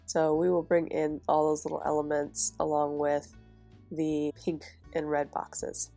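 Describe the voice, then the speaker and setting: A woman's voice: deep voice, measured pace, vocal fry, faint music behind. A young woman with a stuffy, nasal voice from a cold, chatting casually in a voice-over as she decorates a planner. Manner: chatty, relaxed, with soft laughs. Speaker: planner vlogger.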